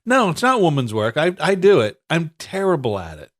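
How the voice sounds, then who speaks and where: A man's voice: on the high side, huge pitch swings, brisk. A stuffed-up man with a slightly raised voice rambles through casual podcast banter. He swears freely and stumbles over restarts, often laughing. His tone stays loose and chatty. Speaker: podcast co-host.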